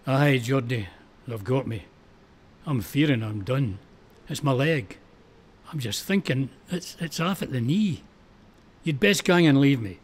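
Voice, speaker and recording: A man's voice: medium pitch, animated, unhurried, long pauses, breathy edge. Man acting a plucky wounded Scottish soldier. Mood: pained, stoic. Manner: urgent, exclamatory, dogged. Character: wounded, plucky young Scottish First World War soldier. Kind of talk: dramatic poetry recital.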